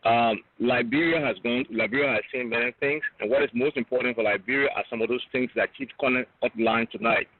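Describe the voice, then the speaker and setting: A man's voice: medium pitch, fairly quick, hardly any pauses, no room sound. Earnest male radio caller on a phone line. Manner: earnest, commending, emphatic lists. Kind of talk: call-in radio comment.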